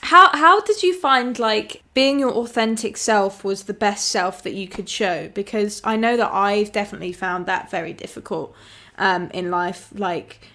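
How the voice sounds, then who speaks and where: A woman host leads a casual panel talk, putting thoughtful questions to her guests. She speaks with some hesitation and restarts. Her pitch is in a middle range. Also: normal speed, bright, forceful tone. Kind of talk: interviewing guests.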